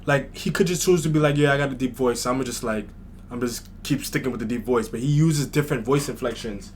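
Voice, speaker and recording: A man's voice: mid-range voice, quick pace, a little hiss or hum. Chatty New York man reacting to music. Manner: chatty, thinks aloud with fillers like "like" and "you know". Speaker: reaction channel host. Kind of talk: casual reaction commentary.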